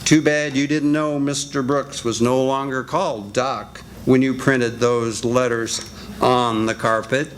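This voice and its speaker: An older man as a stern, deliberate radio detective. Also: medium pitch, steady tone, slight background noise, close to an everyday voice. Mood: accusing. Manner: measured, accusing, deliberate. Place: senior center stage.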